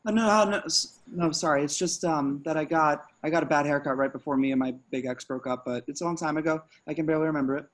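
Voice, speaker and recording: A man playing an awkward, anxious young slacker musician in an amateur script reading, with a halting, stammering delivery. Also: a little high, close to an everyday voice. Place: remote video call.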